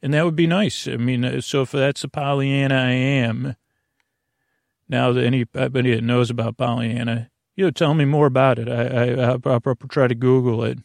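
Creaky-voiced man, meandering bedtime podcaster. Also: mid-range voice, flat delivery, no room sound, clean studio sound. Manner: meandering, halting, self-interrupting, earnest. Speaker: podcast host. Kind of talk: rambling, soothing bedtime podcast monologue.

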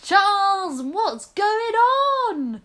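Woman playing a brash, bright-voiced young heroine. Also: sits high, very animated, takes it slowly, exaggerated voice. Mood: alarmed. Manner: cheeky, exclamatory. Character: brash young female adventurer, feisty and exclamatory.

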